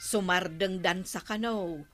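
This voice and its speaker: An actress with an Ilocano accent playing a nagging, sharp-tongued village woman in a radio comedy drama. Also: fairly low pitch, takes it slowly, over music.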